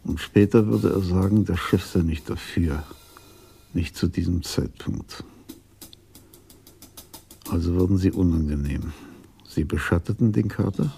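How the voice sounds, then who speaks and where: An actor playing a shrewd older police commissioner in a radio drama, reasoning methodically and calmly. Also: male voice, low-pitched, unhurried, creaky, long pauses, a little hiss or hum. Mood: reflective, shrewd. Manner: methodical, reflective.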